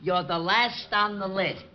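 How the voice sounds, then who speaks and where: An actor playing a gruff, taunting, slangy police sergeant in a 1940s radio crime drama. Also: male voice, fairly high pitch. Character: surly, dim police sergeant, gloating and gruff. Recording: muffled vintage radio recording.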